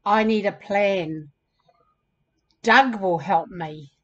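A woman's voice: medium pitch, extremely slow, dull audio. A woman voicing an earnest, insecure male camel with big plans in a children's storybook, plaintive and eager.

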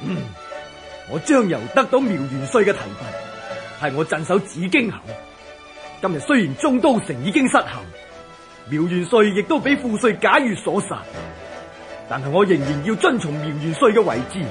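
An actor playing a defiant, loyal garrison general, speaking resolutely and declaiming in a historical radio drama. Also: male voice, high-pitched, sing-song, moderate pace, pauses often, music in the background.

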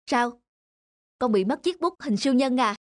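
A woman playing a kind, patient schoolteacher in an animated moral tale, gently instructive. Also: high voice, normal speed, no room sound, studio quality. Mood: concerned. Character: kind, patient female schoolteacher guiding her pupils. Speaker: schoolteacher character. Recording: music behind the voice.

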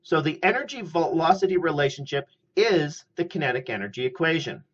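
A man's voice: a little high, animated. Middle-aged man lecturing, muffled lo-fi sound. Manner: measured, methodical explaining. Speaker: chemistry lecturer. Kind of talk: scripted lecture narration. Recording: slightly muffled lo-fi audio.

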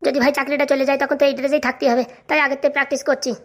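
A woman's voice: high-pitched, flat delivery, very fast, lightly acted. A woman voicing a gloomy, complaining jilted husband in a comic cartoon. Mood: glum. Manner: fretful, complaining. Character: gloomy, jilted young husband fretting over his job.

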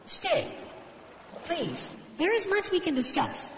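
An eager, very high-voiced young goddess. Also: female voice, huge pitch swings, takes it slowly, a little hiss or hum, full character voice. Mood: shy, hopeful. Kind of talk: children's audio drama dialogue. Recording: reverberant hall effect over music.

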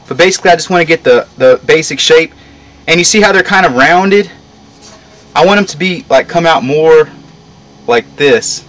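A man's voice: fairly high pitch, pushed and bright. Casual young man explaining, noisy outdoor audio. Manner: informal, explaining step by step. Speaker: hobby metalworker demonstrating. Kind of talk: casual how-to narration. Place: outdoor work yard. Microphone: camera mic a short distance away.

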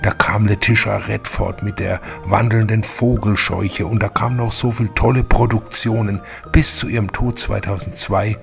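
A man's voice: on the low side, fairly even intonation, moderate pace, vocal fry, hardly any pauses, soft background music. A man hosting with casual, enthusiastic fan commentary, chattily addressing viewers with little tag questions. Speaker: channel host.

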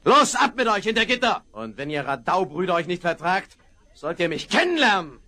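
An actor playing a stern small-town sheriff who barks orders in a 1970s radio play. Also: male voice, high voice, sing-song, moderate pace, bright, forceful tone, heavily acted. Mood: commanding. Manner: barking orders.

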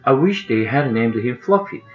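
A grumpy, jealous tomcat from a storybook, read by a man. He grumbles with animated pitch, slightly muffled, over music. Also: normal speed, natural voice. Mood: dismayed. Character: grumpy, jealous male pet cat. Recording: muffled, roomy, music behind.

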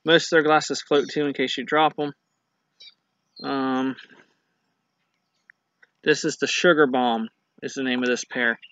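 Rambling man, bright lo-fi outdoor clip. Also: medium pitch, steady tone, measured pace, pauses often. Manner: rambling, down-to-earth, frequent restarts and ums. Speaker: fishing channel host. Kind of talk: casual product review to camera. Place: outdoors in a grassy yard at dusk. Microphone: built-in camera mic at arm's length. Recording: dull lo-fi camera audio with faint noise.

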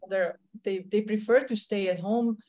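A woman in her thirties with a Slovenian accent, speaking as a tennis coach in a video-call interview, often pausing and repeating words as she searches for English phrasing. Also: mid-range voice, fairly even intonation, mellow. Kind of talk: casual interview chat. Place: indoor room at home.

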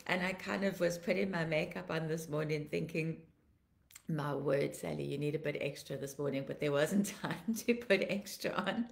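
A woman in her fifties, a pastor, sounding tired and a little croaky, warmly greeting viewers by name and praying fervently on a home live stream. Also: deep voice, moderate pace, reverberant. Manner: fervent praying, repeating declarations. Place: living room at home, indoors. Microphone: laptop webcam, video call framing.

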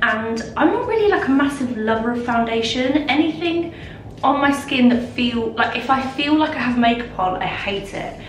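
A woman's voice: a little high, expressive, moderate pace. A young woman vlogging a makeup routine in her bathroom, chatty and gushing, sounding nasal, congested and a bit out of breath. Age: young adult. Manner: chatty, gushing, many fillers. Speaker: lifestyle vlogger. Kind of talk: casual makeup tutorial chat. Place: home bathroom, indoors. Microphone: camera a little way off. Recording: roomy bathroom echo, distant mic, background hiss.

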